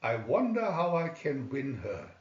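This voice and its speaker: A brave little tin soldier in love, voiced by a man reading a fairy tale. He muses earnestly and slowly. The recording is echoey. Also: mid-range voice, wildly swooping pitch. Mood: wistful, lovestruck. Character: brave, lovestruck toy tin soldier in a storybook. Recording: echoey room, dull lo-fi sound.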